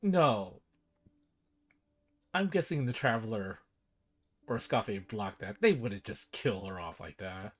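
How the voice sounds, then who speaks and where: A man's voice: fairly low pitch, lively intonation, a little slow, long pauses. A man giving casual commentary as a game streamer, talking through his guesses.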